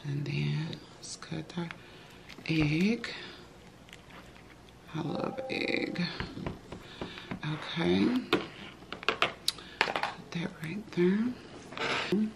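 A woman's voice: low voice, very slow, vocal fry, pauses often, background noise. A woman in her thirties chatting casually to her viewers while eating at home, calling them y'all. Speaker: vlogger. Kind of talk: casual eating vlog chat. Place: home living room, indoors.

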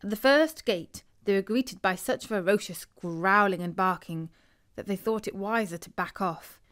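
A woman narrates a scripted story for children. Her voice sits at a medium pitch. She reads expressively, as a bedtime storyteller who acts out what the characters say. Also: normal speed, fairly bright tone.

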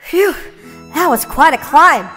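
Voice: high voice, animated, slow, sharp tone, in a large hall, full character voice, poor audio. An actor voicing an earnest young adventurer in a children's cartoon, with faint music behind. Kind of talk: animated children's cartoon acting.

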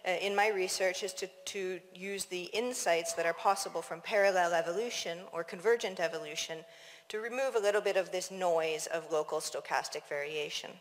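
A woman from Toronto with a Canadian accent, giving an academic conference talk in a measured, hesitant way in a large auditorium. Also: on the low side. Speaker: scientist presenting at a conference. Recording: reverberant auditorium, long hall echo.

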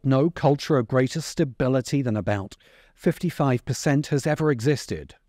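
A man in his forties narrating a scripted video essay in a deliberate, emphatic way, close to the microphone. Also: medium pitch, dark tone, no echo. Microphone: close desk microphone. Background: music under the voice.

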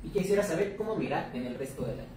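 A co-host who briskly reads viewers' questions aloud in Spanish. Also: mid-range voice, animated, darkish tone. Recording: very echoey room, far from mic, background noise.

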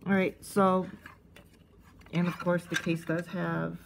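A woman giving casual, unscripted hands-on review commentary in a halting, conversational way, thinking aloud. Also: fairly low pitch, little pitch movement, gravelly. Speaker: host of a cassette collecting video channel. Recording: faint background noise, casual tablet recording.

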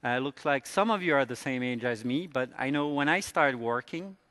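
A man's voice: medium pitch, expressive, moderate pace, a bit bright, big-room sound. A man from France with an American accent, giving a conference presentation in a casual, conversational way. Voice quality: fillers and restarts, conversational. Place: large conference hall.

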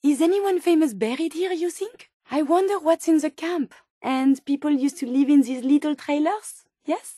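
A woman's voice: high-pitched, moderate pace, few pauses, warm tone, dry recording, clean studio sound, lightly acted. A woman voicing a polite, curious female robot scientist in a video game, speaking formally and precisely with naive wonder. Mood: delighted, curious. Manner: formal, precise wording with wide-eyed wonder. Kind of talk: scripted game companion dialogue.

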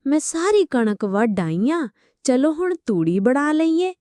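Woman playing an innocent hardworking little storybook sparrow, high lively voice, slow urging calls. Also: dry recording. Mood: eager urging.

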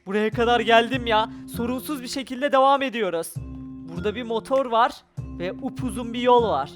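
A gamer giving excited, exclamatory gameplay commentary with role-play, narrating every action aloud in speech. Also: medium pitch, a little fast. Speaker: gaming video creator. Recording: music behind the voice. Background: background music.

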